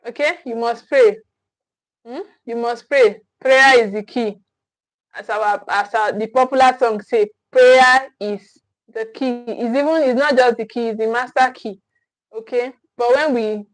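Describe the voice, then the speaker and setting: A woman's voice: fairly high pitch, no room sound, poor audio. A young Nigerian woman from Edo State, hosting a live parenting class in an earnest, emphatic way, often repeating herself and asking "okay?". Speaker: parenting teacher and host.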